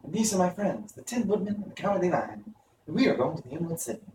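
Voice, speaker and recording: A man's voice: high voice, sing-song, fast, a little mellow, voice pushed up. A man voicing an earnest, friendly young girl, eager and polite, in a storybook reading. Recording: roomy, distant, faint noise.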